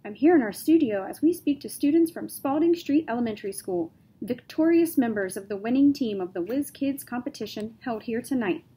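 A woman voicing a brisk adult female TV news reporter with a polished, upbeat on-air delivery. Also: on the high side, dark tone, husky.

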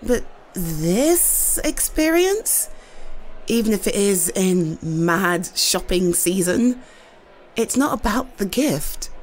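A British woman playing an affectionate, slightly shy girlfriend in an intimate roleplay, speaking softly and hesitantly to her partner. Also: medium pitch, lively intonation. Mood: tender, earnest. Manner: soft, hesitant, tender, with halting repeats.

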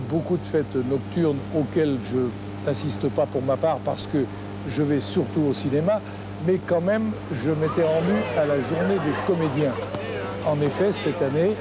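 A middle-aged man presenting a film festival TV report outdoors, in a measured scripted manner. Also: a little high. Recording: noisy 1980s TV tape.

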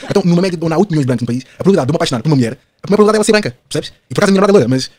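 A man in his twenties with a European Portuguese accent, talking as a podcast guest in an animated, slangy way with lots of fillers and tag questions. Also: fairly high pitch, rapid-fire, background noise. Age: 20s. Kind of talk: casual podcast conversation.

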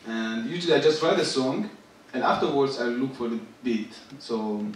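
A young man in his twenties from Syria, a rapper talking on a theater stage, halting and searching for words. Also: mid-range voice, expressive, far from the mic, slight background noise. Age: 20s. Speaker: rapper and guest artist. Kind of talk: stage talk. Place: large theater stage with live audience. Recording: echoey stage, picked up from afar.

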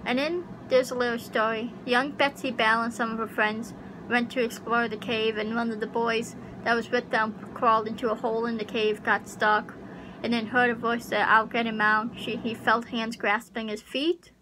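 A woman's voice: on the high side, level tone, normal speed. A young woman vlogging in a casual chat, rambling and unsure, with lots of fillers, recorded close on a webcam in a small bedroom. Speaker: YouTuber. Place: small pink bedroom with air conditioner. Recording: home recording with audible AC hum.

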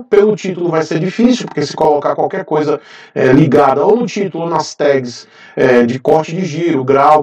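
A man in his thirties from Brazil talks straight to camera in a casual vlog. His voice sits a little high, and he rambles through fillers and restarts. The room gives his voice an echo, a bit distant from the mic. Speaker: motovlogger, channel host. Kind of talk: casual talking-head vlog. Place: indoor garage with motorcycles. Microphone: lapel mic.

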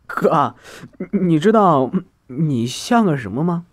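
A nosy, playful younger brother, acted by a man, who teases in drawn-out, swooping phrases. The delivery is animated audio drama acting. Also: fairly high pitch, heavily acted. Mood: teasing. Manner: playful, needling.

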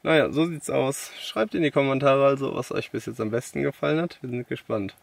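Young man with a gravelly edge. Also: medium pitch, fairly quick, no room sound. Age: young adult. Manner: colloquial. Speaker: travel vlogger. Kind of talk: casual vlog talk.

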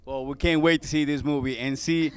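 A man's voice: on the high side, fairly even intonation, moderate pace, clear, resonant tone, no echo. A middle-aged man hosting red-carpet interviews in a playful, joking way on a handheld microphone. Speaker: TV host interviewing guests. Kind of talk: red-carpet interview, switching English and Spanish. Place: indoor event lobby.

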